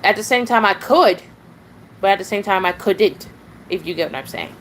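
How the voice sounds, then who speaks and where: A woman's voice: mid-range voice, animated, moderate pace, a bit bright, slight background noise, rough recording. A nineteen-year-old woman streaming live, rambling casually, chatting with viewers and often giggling and restarting her sentences.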